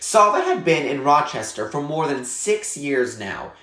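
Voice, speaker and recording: A man narrating a children's novel aloud in an expressive, measured storytelling style with clear phrasing. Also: fairly high pitch, reverberant.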